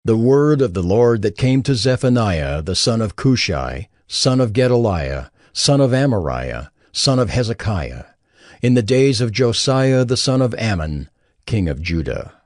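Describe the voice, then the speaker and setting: A man giving formal, measured scripted narration of scripture as the narrator. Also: on the low side, very animated, a little mellow, dry recording, studio quality.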